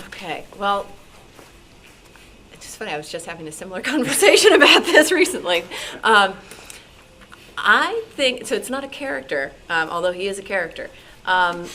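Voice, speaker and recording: A woman's voice: mid-range voice, bright, forceful tone. A woman talking casually as a panelist in a meeting room, rephrasing as she thinks aloud. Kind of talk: casual unscripted panel chat. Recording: noisy live meeting-room recording.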